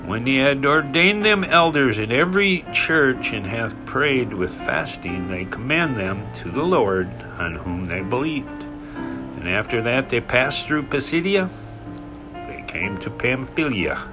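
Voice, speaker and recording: Man reading scripture, slow and expressive. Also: medium pitch, rough recording. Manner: deliberate, emphatic phrasing with pauses. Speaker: scripture reader. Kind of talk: solemn scripture reading, narrated. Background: background music.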